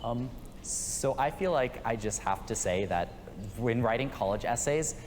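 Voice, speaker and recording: A young man giving a thoughtful, measured answer on a discussion panel. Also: medium pitch, animated, a little mellow. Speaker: panel participant. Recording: reverberant room with faint hiss.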